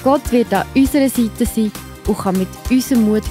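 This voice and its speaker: A woman's voice: a little high, normal speed, mellow, faint music behind. A woman with a Swiss accent narrating a scripted Bible story for children.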